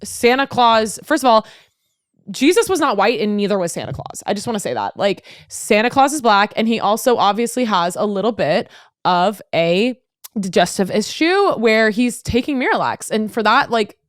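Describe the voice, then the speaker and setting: Young woman podcast guest, dry close mic, meandering deadpan storyteller. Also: medium pitch, moderate pace, dry recording. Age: young adult. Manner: rambling, filler-heavy, deadpan jokes. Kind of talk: casual chat.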